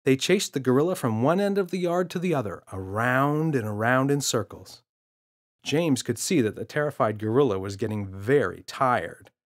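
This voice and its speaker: A man narrating a children's story from a script, as an expressive storyteller. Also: mid-range voice, normal speed. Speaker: author and audiobook narrator.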